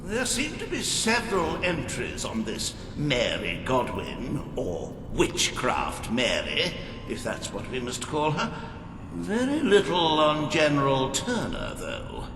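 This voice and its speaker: An actor playing a cowardly, self-serving official in a comic video game role, pompous, florid and fussy, in an echoey hall. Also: male voice, fairly high pitch, big pitch swings, takes it slowly, background noise, exaggerated voice. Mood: smug, fussy.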